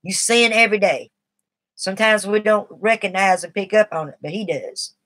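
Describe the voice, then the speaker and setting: A middle-aged woman chatting on her live stream in a rambling, confiding way. Her voice sits at an ordinary pitch for a woman, and she sounds a little shaky as she fights off cigarette withdrawal. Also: normal speed, fairly bright tone. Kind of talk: casual live stream talk.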